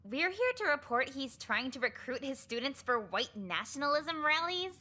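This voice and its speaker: An actress playing a sarcastic, indignant high school girl in a visual novel game, with some noise behind her. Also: very animated, normal speed, pushed and bright, heavily acted. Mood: concerned.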